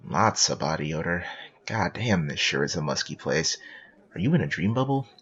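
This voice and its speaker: A man playing a playful second-person narrator, reading scripted comic narration in a husky voice. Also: deep voice, big pitch swings, normal speed, fairly bright tone, muffled recording, full character voice. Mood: scene setting.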